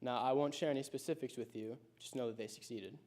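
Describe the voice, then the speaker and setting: A high school senior in his late teens delivering a prepared personal speech, telling his story in a reflective, measured way. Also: a little mellow.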